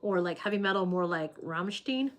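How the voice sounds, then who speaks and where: Female streamer, lower voice, casual rambling delivery full of fillers, talking to chat from a home webcam. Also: lively intonation, normal speed. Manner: chatty, loose, many fillers like "like" and "um". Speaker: livestream host reading viewer comments.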